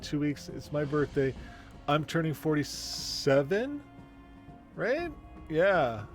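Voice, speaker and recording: A man in his forties, the game master, chatting casually and rambling with many pauses. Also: fairly high pitch, sing-song, faint music behind. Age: 40s. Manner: rambling, hesitant. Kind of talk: casual stream chat.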